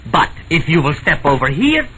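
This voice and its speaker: An actor playing a hot-tempered, indignant male painter in a 1940s style radio drama, forceful and theatrical in delivery. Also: fairly high pitch, sing-song, moderate pace. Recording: dull, noisy old radio recording.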